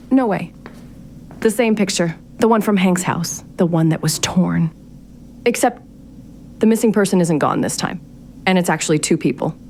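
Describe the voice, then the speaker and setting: A woman's voice: lowish voice, a little mellow, slight background noise. An actress playing a sardonic, curious young woman in a fiction podcast drama, thinking aloud to herself in a naturalistic, exasperated way. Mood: incredulous, amused. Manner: wry, sarcastic, exasperated muttering to herself. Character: sardonic, curious young woman investigating her late relative's mysterious house. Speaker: lead actor in an audio drama.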